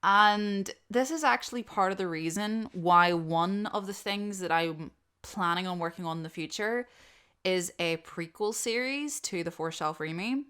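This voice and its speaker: Bright, clear-voiced woman chatting casually. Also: mid-range voice, measured pace. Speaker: audio drama creator answering subscriber questions. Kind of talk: casual unscripted Q&A chat.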